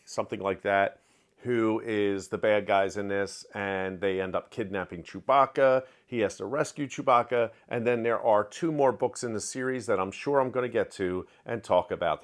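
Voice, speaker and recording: Middle-aged guy doing a book wrap-up vlog at home, blunt and chatty, right up on the webcam. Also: lowish voice. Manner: candid, opinionated, animated hand gestures. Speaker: book vlogger. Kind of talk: casual reading wrap-up review. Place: home study with shelves of collectibles. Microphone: webcam framing, close to camera.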